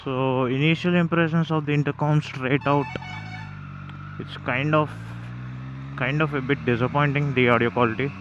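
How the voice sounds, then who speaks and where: A man with a Telugu Indian accent gives casual, unscripted vlog commentary at a medium pitch. He speaks haltingly and often repeats his phrases. Faint outdoor and vehicle noise sits behind his voice. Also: steady tone, lo-fi sound. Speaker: motovlogger reviewing gear.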